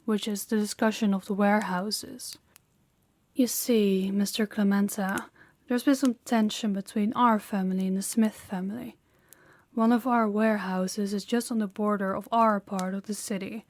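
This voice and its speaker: A woman playing a dominant, stern mafia boss in an audio roleplay, speaking formally and deliberately with authority. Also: mid-range voice, fairly even intonation, darkish tone, close to an everyday voice. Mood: composed, businesslike.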